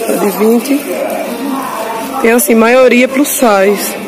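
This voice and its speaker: A woman's voice: a little high, slow pace, rough recording. A woman with a Northeastern Brazilian accent chatting casually to viewers as she shows items at a busy market, informal and often repeating details. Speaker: vlogger showing market clothing stalls. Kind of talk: casual shopping vlog chat to viewers. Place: busy street clothing market. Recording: busy crowd noise all around. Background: loud market crowd.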